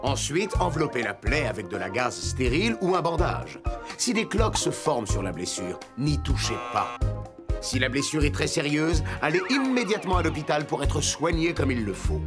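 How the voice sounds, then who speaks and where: A helpful fire truck hero in a children's cartoon. He gives safety instructions step by step with a lively, emphatic swing in his voice. Music plays clearly behind him. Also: on the high side, normal speed. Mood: instructive.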